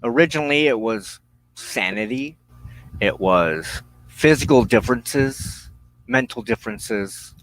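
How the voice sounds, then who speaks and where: Slow, deliberate man giving spiritual teaching. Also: mid-range voice, lively intonation, a bit bright, rough recording. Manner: solemn, deliberate, halting phrasing with pauses. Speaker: religious teacher hosting a broadcast on witchcraft.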